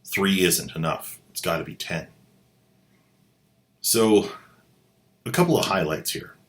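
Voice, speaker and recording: A man's voice: deep voice, expressive, slow, a bit bright. A man hosting a commentary video who mocks the drama clips he plays with a sarcastic, incredulous delivery. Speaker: host, commentator.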